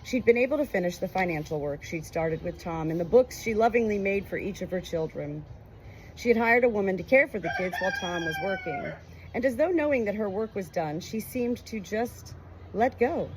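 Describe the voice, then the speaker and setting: A woman's voice: mid-range voice, huge pitch swings, a little fast, low-quality recording. Emotional woman reading aloud over background noise. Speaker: audiobook reader. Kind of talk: expressive book narration with personal commentary. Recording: clear background noise, rooster crowing.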